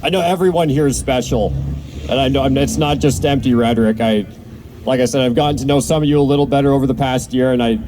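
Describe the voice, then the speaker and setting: A man's voice: medium pitch, fast talker, mellow, a little hiss or hum, poor audio. A man hosting an open mic, giving rambling, appreciative, informal announcements to a gathering. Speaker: event host at an open mic.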